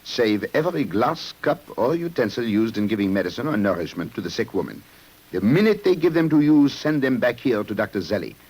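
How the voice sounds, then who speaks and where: Grave French police commissioner: low, rough-edged voice, dramatic and commanding, old radio drama. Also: male voice, very animated, a little fast, darkish tone, full character voice, poor audio. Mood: urgent, commanding. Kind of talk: 1950s radio crime drama acting. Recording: old-time radio broadcast.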